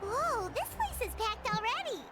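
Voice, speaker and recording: A woman voicing a tiny, childlike, excitable fairy companion in a fantasy video game. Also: very high-pitched, normal speed, loud music behind the voice. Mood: excited.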